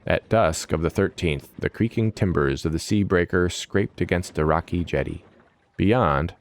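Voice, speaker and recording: A man reading scripted fantasy narration in a dramatic storytelling style. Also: low-pitched.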